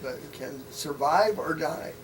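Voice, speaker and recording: A man's voice: a little high, a little slow. An American man hosting a casual interview, talking in a rambling, folksy, informal way. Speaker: interview show host. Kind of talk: casual live interview chat. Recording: distant mic, faint ambient noise.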